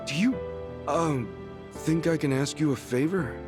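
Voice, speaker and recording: A man's voice: a little high, a little slow, music in the background, lightly acted. A man voicing an earnest, apologetic ex-gangster hero in a dramatic video game cutscene. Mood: polite, contrite. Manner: apologetic, sincere. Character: earnest, guilt-ridden ex-yakuza hero, adult man.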